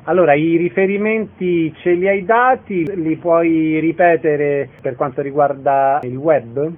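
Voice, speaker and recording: A man's voice: on the high side, measured pace. A man hosting a radio interview show, asking questions and making announcements in an orderly, formal way. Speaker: radio host. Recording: narrow, phone-like radio sound.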